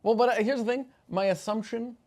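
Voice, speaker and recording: A man's voice: very high-pitched, steady tone, fairly quick, darkish tone. A man chatting casually as a player after a tabletop game. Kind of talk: casual post-game chat.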